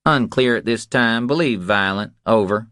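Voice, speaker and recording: A man's voice: mid-range voice, normal speed, fairly bright tone, smooth, dry recording, clean studio sound, voice pushed up, close to an everyday voice. A man voicing a businesslike police radio dispatcher in an audiobook, with clipped, formal radio phrasing. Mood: clipped, official.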